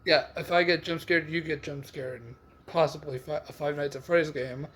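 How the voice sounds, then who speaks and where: A man's voice: on the high side, steady tone, moderate pace, fairly bright tone, husky. A man doing casual reaction commentary on game voice lines, rambling and hesitant as he thinks aloud. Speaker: reaction video host.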